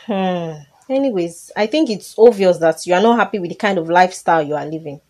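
A woman plays a kindly, composed older Nigerian madam in a radio drama. Her voice is lowish and mellow, her delivery gentle and measured. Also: expressive. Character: caring, composed, well-off older female benefactor.

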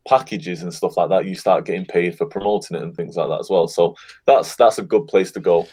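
A man being interviewed as a guest on a podcast, talking in a relaxed, encouraging way. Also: low voice, fairly quick, husky. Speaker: guest, affiliate marketer. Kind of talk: casual interview chat.

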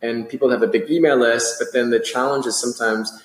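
A man's voice: mid-range voice, steady tone, fast. A man hosting a podcast, enthusiastic and asking long, winding questions. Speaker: podcast host. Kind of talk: podcast interview. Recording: roomy echo.

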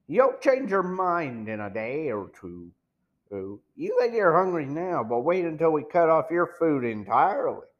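A man's voice: on the high side, very animated, measured pace, dull audio. A man voicing a sour, threatening smuggler chief in an audiobook, pressing and sneering. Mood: taunting. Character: sour, menacing male smuggler gang chief. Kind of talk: audiobook villain dialogue.

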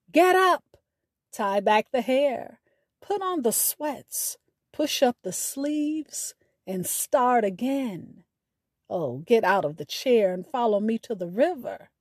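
A woman hosting a storytelling podcast, speaking in a measured, deliberate way as if from a script, with a poetic touch. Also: a little high, a little mellow, no room sound, studio quality. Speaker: podcast host. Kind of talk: scripted radio show sign-off with spoken poetry.

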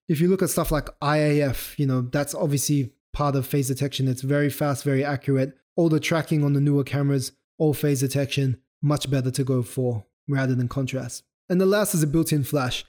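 A man's voice: on the high side, fairly even intonation, brisk, few pauses, darkish tone. A young man hosting a solo photography podcast, explaining loosely with fillers and self-corrections, his throat sore and his voice starting to go. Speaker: podcast host.

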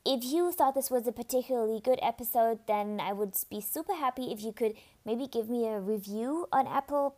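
High-voiced woman with slight vocal fry. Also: darkish tone. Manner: casual, chatty, candid, with fillers and restarts. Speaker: podcast host. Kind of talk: solo podcast monologue.

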